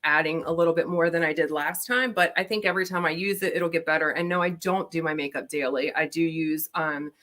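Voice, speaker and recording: Brief: thirties woman, Baltimore accent, lower voice, rambling livestream chat through a laptop mic. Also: steady tone, brisk, few pauses, a bit bright. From Maryland, USA. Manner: chatty, rambling with fillers. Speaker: beauty vlogger. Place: home, at her desk with laptop. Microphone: laptop built-in mic.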